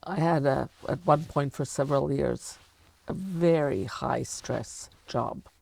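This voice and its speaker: An older woman with a Canadian accent, talking reflectively and deliberately as an interviewer in a sit-down conversation. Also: extremely low pitch, vocal fry, darkish tone, slightly rough. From Canada, of Latvian descent. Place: furnished indoor lounge studio.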